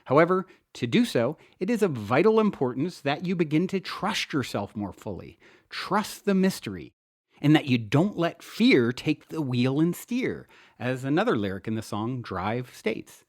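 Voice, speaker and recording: A man reads his own self-help book aloud as a narrator, with an earnest, expressive delivery. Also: medium pitch, moderate pace, no echo, studio quality. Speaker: author and show host reading own book. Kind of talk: audiobook narration, first-person self-help.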